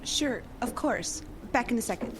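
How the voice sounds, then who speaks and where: A woman's voice: a little high, expressive, normal speed, noisy background, natural voice. An actress playing a naive, nervous young woman in a comic radio drama about a haunting. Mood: timid, curious.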